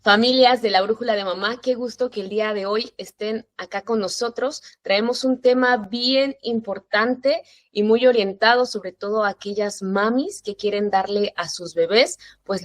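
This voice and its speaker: A woman's voice: mid-range voice, steady tone, normal speed, hardly any pauses, dry recording. A woman in her thirties hosting a parenting interview show, greeting families and welcoming her guest in an informal, welcoming way. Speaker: host.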